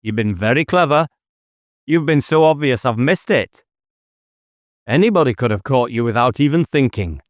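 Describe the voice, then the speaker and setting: Agitated police inspector, played by a male narrator, pitched up, declamatory and challenging, dry audiobook sound. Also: expressive, normal speed, pauses often, dry recording, natural voice. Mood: challenging, defiant. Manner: challenging, raised declamatory delivery. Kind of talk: audiobook character dialogue.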